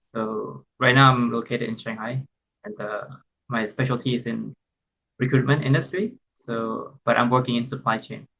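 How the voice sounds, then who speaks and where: A speaker from Cambodia talks as a guest on a panel, casual and conversational with frequent fillers. The voice sits at a middle pitch. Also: fairly even intonation, normal speed, vocal fry, a bit bright, poor audio. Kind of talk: panel discussion.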